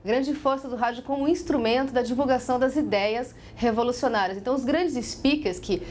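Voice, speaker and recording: Brazilian lady explaining stuff in an interview, teacherly, voice a bit high, faint background noise. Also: fairly quick, a little mellow. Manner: didactic, asks and answers own questions. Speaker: radio history expert interviewee.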